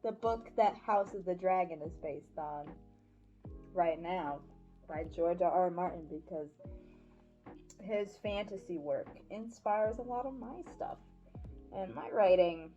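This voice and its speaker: A woman's voice: lowish voice, measured pace, mellow, dull audio, soft background music. A woman in her early twenties giving a casual personal update in an awkward, self-deprecating, giggly, rambling way. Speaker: audio roleplay voice creator and vlogger.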